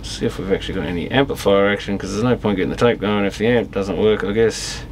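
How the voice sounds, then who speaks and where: A man's voice: on the low side, quick pace, a little hiss or hum. A man giving casual, unscripted commentary as he tinkers with electronics, thinking aloud in fragments and trailing off. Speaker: electronics repairer and video host.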